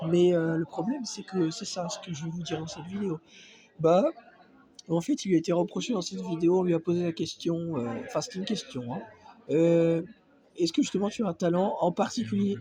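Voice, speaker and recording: A man's voice: high voice, warm tone. A man with a cold, talking to his phone in a casual, hesitant vlog full of fillers and restarts. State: has a cold. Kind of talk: casual reaction vlog monologue. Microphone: phone not held, off-camera.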